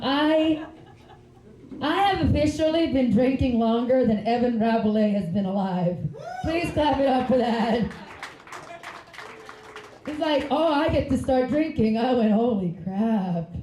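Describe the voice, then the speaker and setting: A woman's voice: a little high, warm tone, echoey room, dull audio, a little hiss or hum. A woman, doing a rambling, giggly stand-up comedy set on a club stage. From Finland. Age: 30s. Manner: chatty, giggly, rambling asides.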